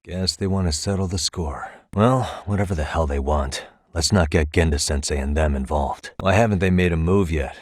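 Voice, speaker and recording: An actor playing a sharp, wry private detective in a video game, with an American accent and a casual, persuasive delivery. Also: male voice, sits low, moderate pace, hardly any pauses, no echo, clean studio sound. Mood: wary, suspicious. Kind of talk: English video game dub acting.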